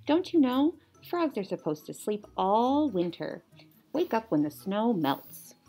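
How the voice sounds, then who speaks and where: A woman voicing a scolding mother frog in a children's picture book, calling out loudly in singsong. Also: medium pitch, big pitch swings, measured pace, breathy edge, soft background music, heavily acted. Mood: chiding.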